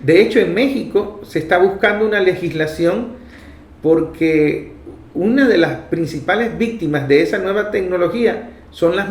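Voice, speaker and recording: A Venezuelan man with a somewhat high voice speaks as a political talk host. His delivery is emphatic and didactic, repeating points for effect. The sound is echoey and reverberant. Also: a little mellow. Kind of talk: political commentary addressed to listeners.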